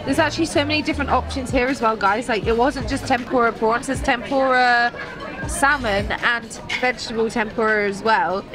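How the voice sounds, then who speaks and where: A woman's voice: fairly high pitch, normal speed, music in the background, crowd noise, poor audio. A young woman with a British accent talks to camera with chatty enthusiasm, full of "like" and eager asides. She sits close to a handheld camera in a busy indoor mall restaurant. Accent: British English. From Britain. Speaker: food vlogger. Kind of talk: casual vlog chat to camera.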